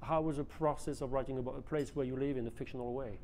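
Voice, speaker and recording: A man's voice: medium pitch, steady tone, fast, a little mellow, slight background noise. A man hosting a round-table interview, asking hesitant, searching questions at a restaurant table. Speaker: host. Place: restaurant dining table, indoors.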